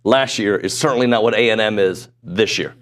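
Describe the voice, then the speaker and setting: A middle-aged man hosting a sports talk show in a studio, chatty and self-deprecating, his voice a little hoarse. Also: fairly low pitch, a little fast, a bit bright, a little hiss or hum. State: voice going hoarse. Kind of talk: casual sports talk chat. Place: broadcast studio.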